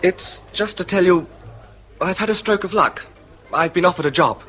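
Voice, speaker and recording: An actor playing an earnest, ambitious young English son in a period radio drama, eager and insistent. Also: male voice, high-pitched, normal speed, fairly bright tone. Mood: earnest, uneasy. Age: young adult.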